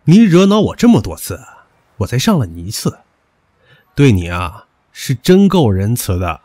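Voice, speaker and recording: An actor playing a hot-tempered, possessive young rich heir in an audio drama, brash and taunting. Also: male voice, mid-range voice, wildly swooping pitch, normal speed, warm tone, no room sound. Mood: cocky, menacing. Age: young adult. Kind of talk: Chinese audio drama acting.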